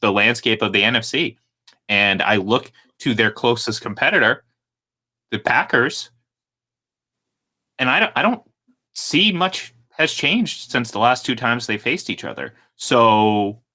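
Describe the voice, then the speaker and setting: A man hosts a live sports talk radio show, talking football with energy and laughing easily. His voice is bright and forward, at a middle pitch. He rambles through his points and restarts them often. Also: animated, unhurried. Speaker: radio host.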